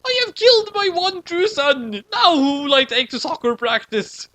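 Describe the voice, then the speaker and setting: Man putting on a high, overblown vengeful father. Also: lively intonation, normal speed, pushed and bright, full character voice. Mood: anguished. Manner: overblown, exclamatory. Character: melodramatic vengeful father with shotguns.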